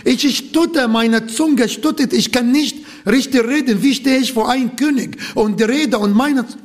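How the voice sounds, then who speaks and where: A man's voice: very high-pitched, measured pace, voice pushed up. A man voicing a timid older male prophet who stammers and doubts himself.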